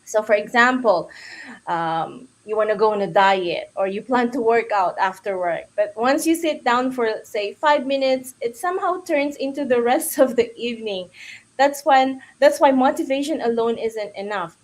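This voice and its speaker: A woman with a fairly high voice and a Filipino accent gives an informal motivational talk. She is chatty and self-deprecating, and often turns to her listeners with questions. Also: moderate pace. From Philippines. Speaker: guest speaker on a livestream.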